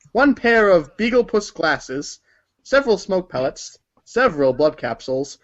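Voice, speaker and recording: A man's voice: high-pitched, a bit bright. A man reading scripted narration for a read-along story performance, in a dull recording.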